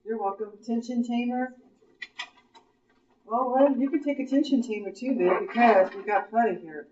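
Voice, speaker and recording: A woman's voice: on the high side, moderate pace, darkish tone. An Appalachian American woman leading a small workshop on essential oils, chatting casually and listing blends to her group. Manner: friendly, chatty, lists items off. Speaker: workshop leader handing out essential oil blends. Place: meeting room, small group. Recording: distant roomy recording, muffled.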